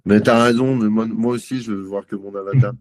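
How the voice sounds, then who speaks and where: A man giving a live software demo on a video call, chatty and self-mocking, thinking aloud with many fillers. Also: on the low side, fairly even intonation, brisk, no echo. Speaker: guest presenter.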